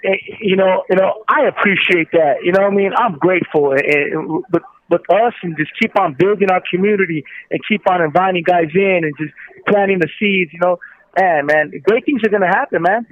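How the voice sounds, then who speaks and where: Over a narrow, tinny telephone line, a man with a high-pitched voice gives a casual, earnest testimonial. He repeats short phrases for emphasis, and the sound is dry with no room around it. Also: fairly quick, no room sound. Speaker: guest calling from prison. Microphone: telephone handset. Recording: tinny prison phone line.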